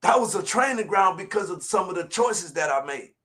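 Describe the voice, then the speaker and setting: A man, preaching motivation in a colloquial, emphatic and repetitive way, moving between low asides and shouted exhortation. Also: expressive, fairly quick. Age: 40s. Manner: colloquial, direct address to listeners. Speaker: motivational speaker. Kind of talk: fiery motivational preaching on a livestream.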